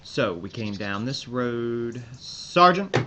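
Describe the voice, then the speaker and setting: An actor playing a blunt, commanding American army major in a World War Two audio drama. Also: male voice, mid-range voice, expressive, slow. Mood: determined, commanding. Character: gruff, eager American army major, man. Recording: slightly muffled, noisy ambient backdrop.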